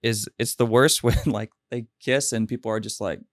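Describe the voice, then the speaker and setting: A man hosting a casual podcast chat about weddings as a DJ, telling lively stories and acting out what people said. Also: mid-range voice, moderate pace, dry recording, studio quality. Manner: animated storyteller, acts out quotes.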